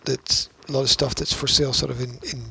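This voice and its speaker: A man co-hosting a casual podcast, talking in a halting way and repeating words as he thinks aloud. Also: medium pitch, little pitch movement, gravelly, sharp tone, husky. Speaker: podcast co-host. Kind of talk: casual chat.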